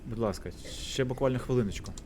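A man voicing an earnest theatre director, reading stage directions and pleading in a measured, theatrical way in a visual novel. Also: medium pitch, darkish tone, a little hiss or hum, natural voice.

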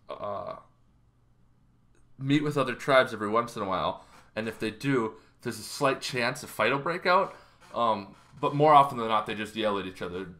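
A man in his thirties explaining things casually as a show host, in an informal, halting way. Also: medium pitch, pushed and bright. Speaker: host of a tabletop roleplaying lore show. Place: bedroom, indoors.

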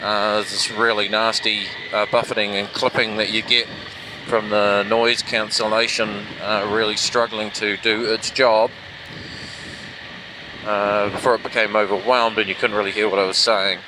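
Guy with a lowish voice rambling on his motorbike vlog, helmet mic, lots of road noise. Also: flat delivery, moderate pace. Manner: rambling, self-deprecating, hesitant. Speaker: motovlogger reviewing action cameras. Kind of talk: rambling casual vlog chat while riding. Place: outdoors on a motorbike, inside a helmet. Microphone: helmet microphone with foam fluffy. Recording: noisy helmet mic, vehicle and wind noise.

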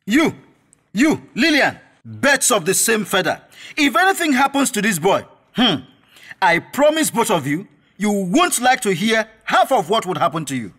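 Scolding uncle, Nigerian accent, high pitch, animated, suspicious, radio drama. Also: male voice, normal speed, heavily acted. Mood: threatening. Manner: scolding, interrogating, commanding. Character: stern, suspicious professor uncle scolding his nephew.

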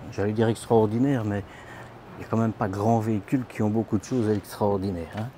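Guy chatting thru camper vans, mellow tone, lots of fillers, faint hall noise. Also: on the low side, steady tone, a little fast. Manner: chatty, informal, addresses viewer as tu, many fillers. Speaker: camper van vlogger. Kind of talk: casual walkthrough commentary. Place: large indoor trade show hall, inside vans.

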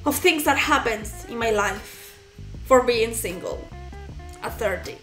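Animated woman in her thirties, high voice. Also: reverberant, faint music behind. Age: 30s. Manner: animated, gestures a lot, laughs often, halting restarts. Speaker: reaction video host. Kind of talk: casual storytelling to camera. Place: small indoor room at home, plants behind.

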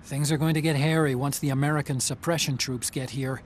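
An actor playing an earnest, brainy tech ally in a video game, giving clear, urgent mission briefings. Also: male voice, medium pitch, fairly even intonation, fairly quick, slight background noise, natural voice.

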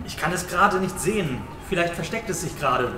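High-pitched dry-toned alien tracker played by a man. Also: animated, fairly quick. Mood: matter-of-fact. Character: self-appointed tracker leading friends after an alien. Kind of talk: amateur sci-fi comedy audio drama acting. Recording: echoey, distant sound with noisy ambience.

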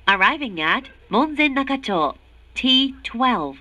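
A woman gives formal train announcements at an extremely unhurried pace. Her bright, fairly high voice moves with lively intonation, and each word is clearly articulated. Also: no room sound. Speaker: English-language train announcer. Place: recorded announcement played inside subway car.

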